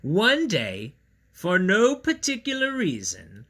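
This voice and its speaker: A man's voice: sits high, huge pitch swings, slow pace, bright, forceful tone. A man reading aloud and chatting to children in a playful, silly, theatrical way. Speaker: children's story reader and host. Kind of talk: read-aloud and chat for children. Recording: roomy echo, clear background noise.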